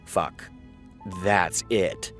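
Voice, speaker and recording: A man's voice: sits low, fairly even intonation, drawn-out words, fairly bright tone, faint music behind, full character voice. A man playing a wry, self-critical writer who reads dramatic prose aloud with brooding delivery and muttered asides in an audio drama. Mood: frustrated.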